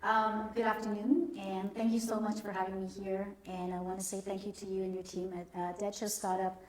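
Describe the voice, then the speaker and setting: A middle-aged woman, answering interview questions as a company founder, measured and polite with frequent pauses. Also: mid-range voice, flat delivery. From born in Cambodia, raised in the United States. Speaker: guest, company founder and CEO. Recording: long hall reverb.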